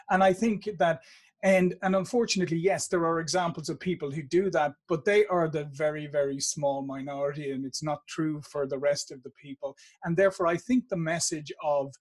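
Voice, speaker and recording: A middle-aged man hosting an interview over a video call on a studio mic, upbeat and asking long questions with restarts. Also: on the high side. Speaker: interview host. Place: home office in front of a branded backdrop.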